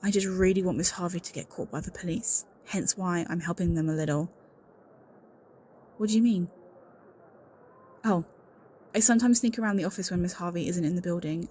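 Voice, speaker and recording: A woman's voice: fairly low pitch, fairly quick, warm tone, close to an everyday voice. Woman playing an anxious, suspicious secretary confiding. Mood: wary, confiding. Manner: earnest, confiding, insistent. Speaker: voice actor in audio roleplay. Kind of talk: scripted audio roleplay acting, one-sided conversation.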